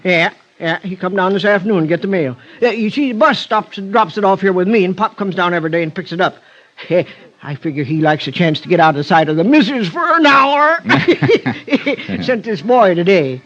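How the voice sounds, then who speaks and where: Folksy rural american old timer, talks your ear off, real animated, old dull radio recording. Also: male voice, high voice, normal speed, full character voice. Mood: amused, gossipy. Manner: rambling, hesitant, folksy and chatty. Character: garrulous, folksy backcountry old-timer giving directions. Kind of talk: 1950s American radio drama acting. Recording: muffled vintage radio recording.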